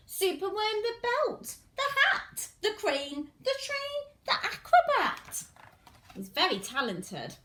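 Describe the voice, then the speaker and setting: A woman storyteller with a high-pitched voice reads to young children. She goes slowly, with sing-song, exaggerated emphasis. The recording has a clear room echo. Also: a bit bright. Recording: roomy, echoing indoor sound.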